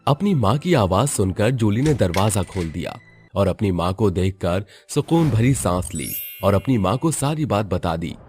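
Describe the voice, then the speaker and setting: A man narrating a spooky storybook cartoon, his voice fairly low. His pitch swings widely as he tells the tale. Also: animated, moderate pace, darkish tone, soft background music.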